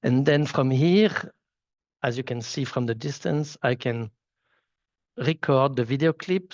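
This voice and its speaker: A man whose first language is French, speaking with a Swiss French accent as he gives a live software demo and often restarts and repeats words. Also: mid-range voice, no room sound, dull audio. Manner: halting, repeats words while clicking through. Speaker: solution architect giving a software demo. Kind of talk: live product demo walkthrough.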